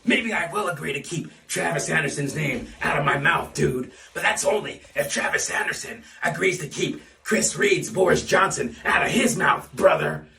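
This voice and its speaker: A man delivers a mock wrestling promo full of swagger, his voice raised, gravelly and sharp. He sits back from the mic in an echoey room. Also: a little high, animated, moderate pace, hardly any pauses, far from the mic, slight background noise. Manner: blustering wrestler bravado, tacks on "brother" and "dude". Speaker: fan wrestling promo performer. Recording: roomy echo, off-mic.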